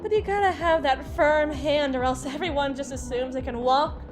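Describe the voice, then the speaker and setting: A woman's voice: high voice, normal speed, over music, lightly acted. A woman performing an ASMR roleplay as a drunken, teasing, domineering female boss, rambling and stumbling over her words. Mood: amused, boastful.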